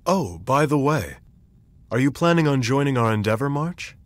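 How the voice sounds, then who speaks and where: A man playing a calm, courteous grown man. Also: medium pitch, normal speed, no room sound, lightly acted. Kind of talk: video game cutscene voice acting.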